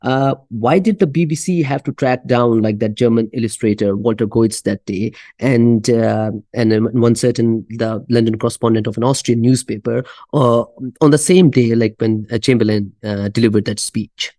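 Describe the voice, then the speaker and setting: A man's voice: medium pitch, fairly even intonation, moderate pace, hardly any pauses, dark tone, no echo, clean studio sound. A man hosting a podcast who asks interview questions politely and hesitantly, with many fillers. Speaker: podcast host.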